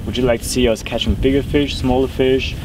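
Guy doing a fishing vlog on a windy riverbank, mic a bit far, clear wind noise behind. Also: mid-range voice, steady tone, a little fast. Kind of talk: casual outdoor talk to camera. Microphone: camera mic at mid distance.

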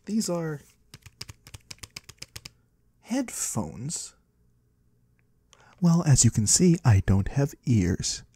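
A man playing a mysterious, courteous disembodied trader of the void in a soft-spoken ASMR roleplay, husky and breathy, slow and gently curious. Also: on the high side, sing-song, dry recording, studio quality, heavily acted. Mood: curious, pleased. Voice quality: husky, breathy, sometimes whispering. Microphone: close, dry microphone.

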